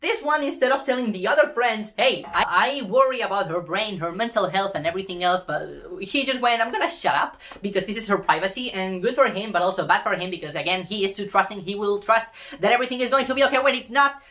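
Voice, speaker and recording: A woman's voice: mid-range voice, animated, fast talker, a bit bright. A woman in a casual, rambling rant, retelling a story with sarcastic, sweary exclamations.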